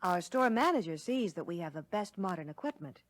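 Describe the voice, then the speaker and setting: A woman playing a courteous American lunch counter waitress, explaining service clearly in a scripted 1960 training film. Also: fairly low pitch, lively intonation, brisk, no room sound. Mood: proud, helpful. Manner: courteous, instructive, clearly worded.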